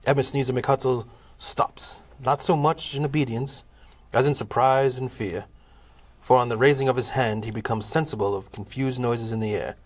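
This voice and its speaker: A man narrating a scripted comic audio play in a measured, formal storytelling manner. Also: medium pitch, fairly even intonation.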